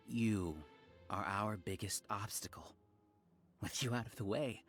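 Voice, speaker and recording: A man voicing a calm, condescending scholar villain who lectures in measured, coldly polite tones. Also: mid-range voice, lightly acted. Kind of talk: game voice acting.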